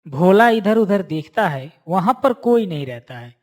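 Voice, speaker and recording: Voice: mid-range voice, very animated, measured pace. A narrator telling a scripted moral story in storytelling style.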